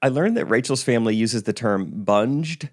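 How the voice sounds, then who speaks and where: A man, speaking at a mid pitch. He tells stories in an easygoing, deadpan way, slipping into quick voices for other people. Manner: dry, relaxed storyteller who mimics others. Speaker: podcast co-host. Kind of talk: casual storytelling chat.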